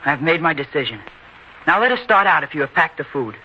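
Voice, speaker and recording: A man's voice: a little high, sing-song, fast. A man acting a proud, headstrong farm boy in an old-time radio drama, earnest and declamatory in his insistence. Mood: impatient, firm. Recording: dull, lo-fi radio recording with hiss.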